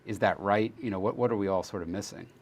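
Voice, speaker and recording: A man's voice: on the low side, fast talker. A man hosting an interview over a video call, asking questions hesitantly with many fillers. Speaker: host, interviewer. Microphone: video call microphone.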